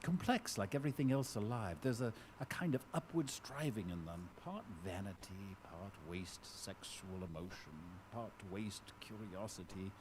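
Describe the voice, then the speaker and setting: An actor playing an obsessed, grandiose scientist who creates men from animals, theatrical and brooding, in a live audio drama. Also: male voice, fairly low pitch, normal speed, few pauses, background noise, natural voice. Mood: musing, weary. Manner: theatrical, brooding, swelling into fervent declamation. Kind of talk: dramatic monologue in a live radio-style play. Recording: live stage recording with music underneath.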